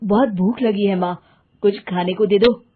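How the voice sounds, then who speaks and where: Meek young man performed by a woman, dutiful cartoon son, deferential, breathy rasp, lo-fi audio. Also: mid-range voice, fairly even intonation, unhurried. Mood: pleading. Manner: mild, placating, deferential. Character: meek, obedient, jobless young adult son.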